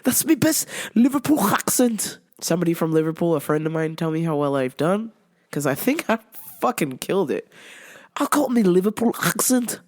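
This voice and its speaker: A young woman whose voice is low and recovering, telling a work story in a profane, animated storytime rant. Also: moderate pace. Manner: profane, animated, laughs a lot. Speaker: vlogger and retail cashier.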